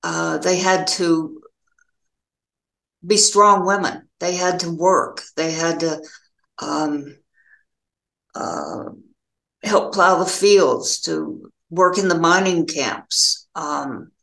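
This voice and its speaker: An older American woman giving reflective interview answers as a novelist, in a halting, thoughtful way with pauses mid-phrase. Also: on the low side, animated, gravelly, a bit bright, echoey room.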